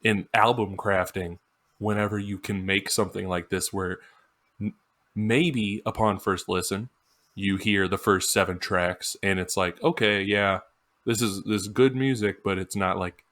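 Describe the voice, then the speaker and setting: A man's voice: lowish voice, fairly even intonation, a little slow, a bit bright. A man co-hosting a podcast, discussing music in an informal, emphatic, casual way. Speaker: podcast co-host.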